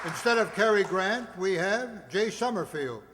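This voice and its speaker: A male announcer with a high voice presents a cast to a live audience in a jokey, showman-like way. The hall rings with long reverb and clear crowd noise. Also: animated, measured pace.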